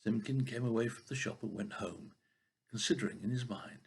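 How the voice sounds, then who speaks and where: An older man reading a children's story expressively, with a husky voice and the odd stumble over a word. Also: lowish voice, fairly quick. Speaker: storybook reader.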